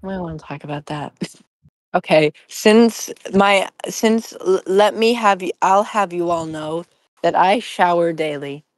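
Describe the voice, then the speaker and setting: A woman chats as a guest on a casual podcast. Her voice sits a little low and sounds very dry, and she talks haltingly with a self-deprecating streak. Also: no echo. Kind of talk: casual group podcast chat.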